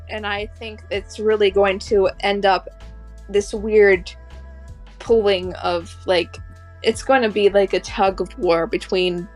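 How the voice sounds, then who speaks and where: A woman podcast host talks in a casual fan-chat style at a middling pitch. She feels her way through each thought, often circling back, with faint music behind her. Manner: hesitant, halting, circling back mid-thought. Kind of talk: casual fan discussion of an animated series.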